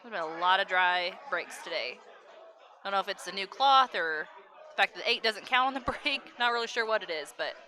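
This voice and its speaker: A woman's voice: mid-range voice, expressive, brisk, bright, forceful tone. A woman giving casual, chatty live commentary on a pool match and answering viewers, laughing easily. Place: loud pool hall with a crowd. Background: crowd chatter.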